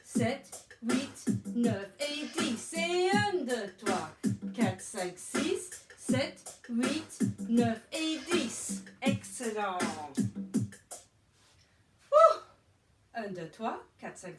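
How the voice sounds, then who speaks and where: A woman's voice: a little high, big pitch swings, drawn-out words. A Scottish woman teaching children French numbers, chanting them in rhythm and then coaching patiently. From Scotland. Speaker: language teacher. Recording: roomy sound from a distance with music.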